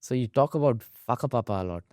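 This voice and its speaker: A man's voice: medium pitch, lively intonation, fast talker, a little mellow, dry recording, studio quality. A man hosting a podcast, asking questions and giving short attentive replies. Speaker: podcast host. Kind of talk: interview questions and brief backchannels.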